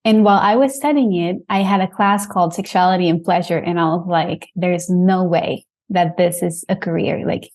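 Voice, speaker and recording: A woman's voice: lowish voice, steady tone, moderate pace, hardly any pauses, a little mellow. A woman from Costa Rica, a sexologist, explaining her work casually and upbeat in an interview. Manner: upbeat, laughs easily, explains plainly. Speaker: sexologist guest.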